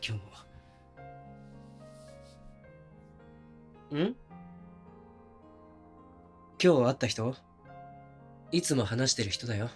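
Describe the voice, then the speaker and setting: A man acting as a considerate but oblivious young boyfriend in an intimate audio drama, talking casually and softly with pauses. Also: mid-range voice, natural voice. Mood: apologetic. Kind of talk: intimate situation-voice audio drama, naturalistic one-sided dialogue.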